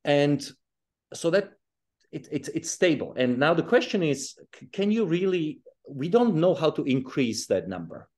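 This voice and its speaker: A man from Czechoslovakia and South Africa, a guest author, explaining his ideas in a relaxed interview over a video call, often restarting phrases. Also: a little high, normal speed. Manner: thoughtful, restarts phrases, chuckles while explaining.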